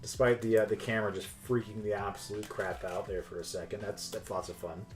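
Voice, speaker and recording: A man's voice: lowish voice, fairly even intonation, fairly quick, soft background music. A man streaming a card game, chatting casually and joking with his opponent. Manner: joking, upbeat, laughs often. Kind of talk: casual chat while streaming.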